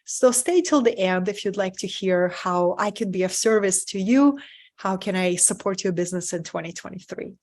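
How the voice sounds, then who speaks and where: A woman's voice: mid-range voice, moderate pace. A middle-aged woman from Russia with a Russian accent, presenting a live webinar as a spiritual business mentor in an earnest way and checking in with listeners with "right?". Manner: warm, earnest, often asks "right?" to the audience.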